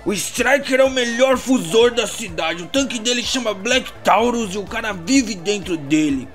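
A man's voice: high voice, animated, moderate pace, music in the background. A man playing a brash, foul-mouthed street informant in an audio drama, slangy, taunting and boastful.

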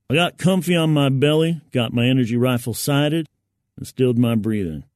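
A man's voice: medium pitch, darkish tone, dry recording. Man narrating gritty fiction, very dry sound. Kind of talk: dramatic first-person fiction narration.

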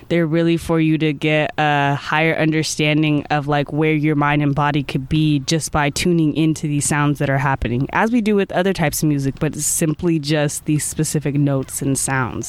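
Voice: medium pitch, clear, resonant tone. A person in their twenties, a podcast guest talking casually and rambling, with lots of "like" and "I feel like" and frequent little laughs. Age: 20s. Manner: rambling, many "like" and "I feel like" fillers, laughs often. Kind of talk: casual interview chat.

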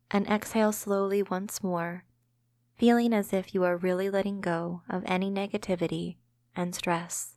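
A woman narrating a scripted guided imagery meditation in a gentle, calming, measured way. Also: mid-range voice, dry recording, clean studio sound.

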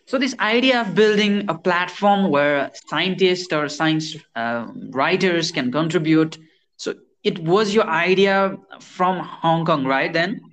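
A male interviewer with a fairly high voice. He encourages his guest with short backchannels and asks long, meandering questions full of fillers. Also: takes it slowly, a bit bright.

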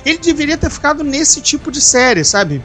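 A podcast host and novelist with a Brazilian accent, chatting casually, animated and quick to swear, often restarting sentences. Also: high voice, soft background music. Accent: Brazilian Portuguese. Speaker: author of a novel.

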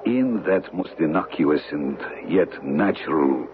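Suave foreign bad guy, super low voice that swings around a lot, precise and scheming, old radio drama. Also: lively intonation, exaggerated voice. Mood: smooth, calculating. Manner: deliberate, courtly, picks words precisely. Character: suave, scheming foreign villain who deals in stolen art. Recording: muffled, noisy old radio broadcast.

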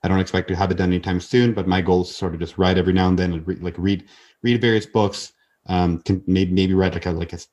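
A man's voice: low voice, little pitch movement, quick pace, a little mellow, lo-fi sound. A man in his twenties, a podcast guest talking earnestly in casual conversation, often restarting and repeating words. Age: 20s.

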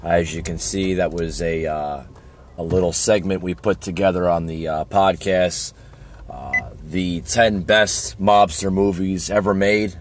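A hoarse man hosting a podcast, talking casually with a halting manner and many fillers. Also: low-pitched, steady tone, a little hiss or hum. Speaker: podcast host. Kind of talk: casual talk.

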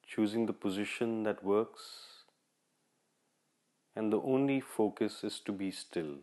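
Guy guiding a meditation, fairly low voice, really slow, short repeated instructions w long gaps. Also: mellow. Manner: measured, instructional, repetitive short phrases with long pauses. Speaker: meditation guide, podcast host. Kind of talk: guided meditation.

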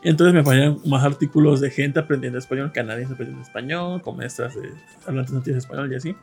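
A man co-hosting a podcast with a Mexican Spanish accent, telling rambling stories with many pauses and fillers. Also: medium pitch, darkish tone, husky, soft background music. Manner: rambling storyteller, hesitant fillers. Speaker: podcast co-host. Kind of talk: casual chat.

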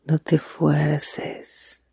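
A meditation guide speaking softly and breathily in a calming, deliberate way with marked pauses. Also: mid-range voice, creaky, slightly rough, dry recording.

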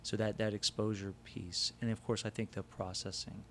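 A man's voice: on the low side, little pitch movement, moderate pace, a little hiss or hum. A man co-hosting a conversational discussion who listens closely and affirms often. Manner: affirming listener, frequent agreement. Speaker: podcast co-host.

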